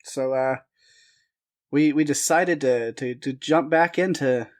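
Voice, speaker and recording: A man's voice: mid-range voice, takes it slowly. A man co-hosting a film podcast, talking casually with frequent restarts and little laughs. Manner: rambling, restarts, self-deprecating laughs. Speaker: podcast co-host. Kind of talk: casual chat.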